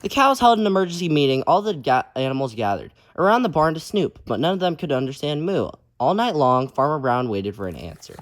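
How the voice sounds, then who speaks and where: A man's voice: fairly high pitch, sing-song, fairly quick, hardly any pauses. A man hosting a children's read-aloud, reading expressively and speaking in an encouraging way. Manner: encouraging, addressing listeners as friends.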